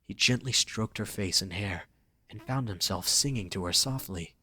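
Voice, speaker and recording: A man's voice: lowish voice, normal speed, sharp tone, breathy edge. A man narrating a scripted romantic story for an audio drama, reading dramatically.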